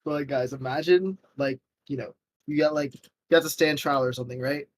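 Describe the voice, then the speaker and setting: A woman's voice: very deep voice, fairly even intonation, brisk. A woman chatting casually in a group stream, recorded on a slightly noisy, clicky mic. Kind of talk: casual chat.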